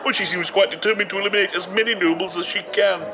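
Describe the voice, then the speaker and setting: An actor playing a deferential manservant to a noblewoman in an audio drama, formal, measured and dry. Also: high-pitched, fairly even intonation, crowd noise, lightly acted.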